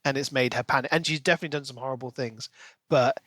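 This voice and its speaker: A man's voice: mid-range voice, quick pace, a bit bright, no room sound, clean studio sound. A man co-hosting a podcast, chatting casually and giving opinions about a book. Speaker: podcast co-host.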